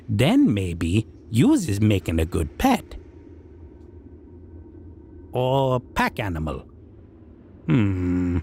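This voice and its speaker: A man's voice: medium pitch, a little mellow, a little hiss or hum, exaggerated voice. A man voicing a civilized goblin king in an audio roleplay, sly and menacing yet amused, musing in broken grammar with hums. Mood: scheming, smug. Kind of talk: character audio roleplay addressed to listener.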